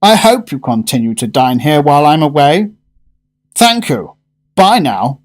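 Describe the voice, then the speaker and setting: A man playing an eager, pompous gourmet diner in a radio drama, well-spoken and expressive. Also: fairly high pitch, measured pace. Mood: pleased. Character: eager, pompous male gourmet restaurant regular.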